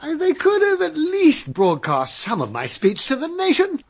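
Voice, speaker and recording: A man's voice: very high-pitched, huge pitch swings, moderate pace, a little hiss or hum, heavily acted. An actor playing a vain, dim male party leader, pompous and gushing, in a radio comedy drama. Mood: blustering. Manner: pompous, gushing, easily muddled.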